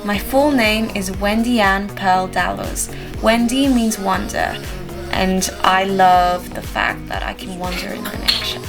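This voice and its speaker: A woman in her twenties, raised in France, earnestly reading out numbered facts about herself as a vlogger. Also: mid-range voice. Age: 20s. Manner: earnest, measured, announces each numbered fact. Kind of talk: reading a list of personal facts. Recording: voice-over with clear background music. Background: background music.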